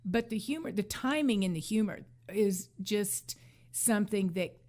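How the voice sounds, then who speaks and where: A woman's voice: mid-range voice, animated, a little slow, a little mellow. A woman co-hosting a podcast with casual, conversational commentary. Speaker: podcast co-host.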